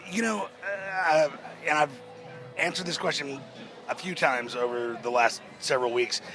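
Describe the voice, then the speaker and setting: A man in a band answering interview questions at a festival, his voice naturally hoarse, talking in a halting way with many restarts and fillers. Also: on the high side. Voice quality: hoarse-sounding. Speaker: rock band member. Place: outdoors at a music festival.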